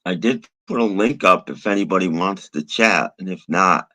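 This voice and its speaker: Guy with a low kinda hoarse voice just rambling on stream, halting and a bit grumbly. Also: bright, forceful tone. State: rough, slightly hoarse voice. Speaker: live streamer. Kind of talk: casual rambling livestream chat.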